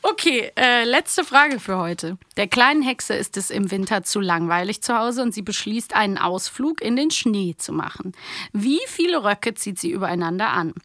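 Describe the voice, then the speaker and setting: A woman's voice: mid-range voice, animated, normal speed, fairly bright tone, dry recording. A woman co-hosting a podcast in casual, teasing chat. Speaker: podcast co-host.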